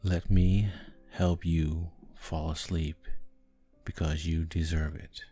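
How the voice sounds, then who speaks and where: A man guiding a sleep hypnosis in a soft, calming, gentle voice with long pauses between short phrases. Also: a bass voice, breathy edge. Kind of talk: guided sleep hypnosis, soothing narration. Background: background music.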